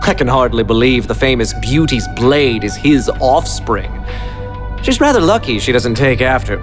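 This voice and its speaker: Man acting theatrical gloating villain, wildly swooping pitch. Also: lively intonation, close to an everyday voice. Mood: mocking glee. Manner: theatrical, gloating, flowery mock-polite speech, drawn-out words. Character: gleeful, devious male villain, theatrical sadistic psychopath. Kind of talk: anime-style video game villain acting. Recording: dramatic game music behind.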